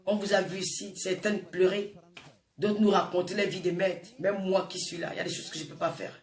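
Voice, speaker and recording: A woman's voice: on the low side, steady tone, a little fast, darkish tone. A woman in her forties with a Francophone African accent delivers an informal, impassioned monologue, scolding emphatically and repeating phrases for effect. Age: 40s. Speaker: social media personality addressing viewers. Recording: echoey room, distant microphone.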